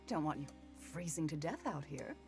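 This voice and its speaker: A woman's voice: sits low, very animated, creaky, soft background music, heavily acted. A woman playing a courteous middle-aged mother in a visual novel, speaking formally and with veiled menace. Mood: polite, composed. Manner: formal, measured, polite. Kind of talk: visual novel character acting.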